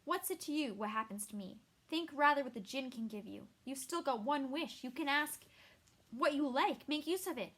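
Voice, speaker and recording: A woman's voice: mid-range voice, normal speed. A woman voicing a cold, proud sorceress who speaks in a commanding way. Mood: enraged. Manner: commanding, forceful.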